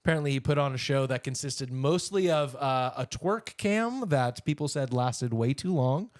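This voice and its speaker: A man's voice: medium pitch, lively intonation, moderate pace, dry recording, clean studio sound. A man co-hosting a podcast, chatting informally through news stories in a casual, conversational way. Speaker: podcast co-host.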